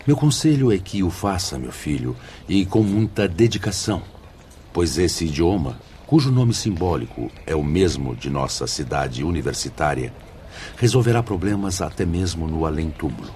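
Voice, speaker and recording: Teócrito, mentor espiritual de voz profundíssima. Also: male voice, deep voice, big pitch swings, moderate pace, warm tone, a little hiss or hum. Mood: instructive, solemn. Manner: solemn, paternal, declamatory. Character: Teócrito, wise, fatherly male spirit mentor and director. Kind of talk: radio drama acting. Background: background music.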